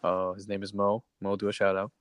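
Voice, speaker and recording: A man's voice: fairly low pitch, monotone, brisk, dry recording, clean studio sound. A man in his twenties co-hosting a casual podcast, upbeat and joking as he asks the guest questions. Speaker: podcast co-host.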